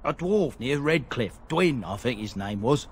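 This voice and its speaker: A man's voice: mid-range voice, expressive, measured pace, a little hiss or hum. An actor playing a cowardly, nervous male merchant in a fantasy game, hesitant and evasive. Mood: defensive. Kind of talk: video game voice acting.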